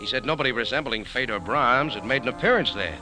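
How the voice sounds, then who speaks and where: A hard-boiled American man from a 1940s radio mystery, played by an actor. He is laconic, wry and deadpan at a medium pitch, with prominent music behind him. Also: fast talker, close to an everyday voice. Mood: cool, narrating. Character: cool, wry American café owner turned sleuth. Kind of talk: 1940s radio drama narration and dialogue.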